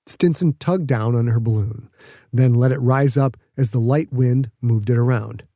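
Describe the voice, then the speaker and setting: Mellow man narrating fiction, close and dry. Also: mid-range voice, normal speed, dry recording. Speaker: audiobook narrator.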